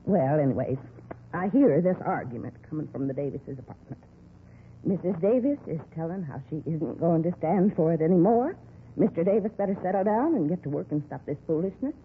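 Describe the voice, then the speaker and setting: Casting: gossipy older busybody woman for old-time radio crime drama, very animated, tinny aged sound. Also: a little high, a little fast. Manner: rambling, confiding, gossipy. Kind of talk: 1950s radio acting. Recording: thin, noisy vintage radio recording.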